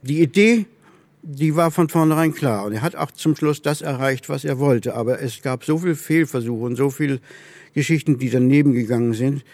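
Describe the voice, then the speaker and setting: Man talking in an interview as a hobbyist, normal pitch, no room echo. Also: a little mellow, clean studio sound. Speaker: club chairman and enthusiast interviewee. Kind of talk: interview talk.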